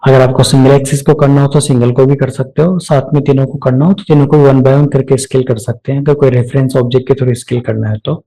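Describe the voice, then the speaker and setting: A man with an Indian accent narrating a step-by-step software tutorial, explaining each action aloud as an instructor. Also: medium pitch, fairly even intonation, quick pace, few pauses, poor audio.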